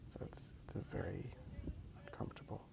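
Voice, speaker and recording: A man rambling softly and hesitantly in a hushed ASMR style, recorded outdoors on a handheld phone. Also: fairly quick. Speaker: host of a drawing ASMR video. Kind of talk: soft-spoken ASMR rambling. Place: outdoors in a park.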